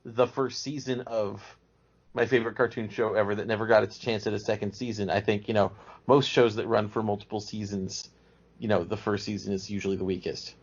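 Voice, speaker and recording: A man's voice: lowish voice, flat delivery, brisk, breathy edge. A man speaking as a podcast guest, explaining things in a casual, talkative way. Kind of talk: casual fan discussion. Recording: dull lo-fi audio.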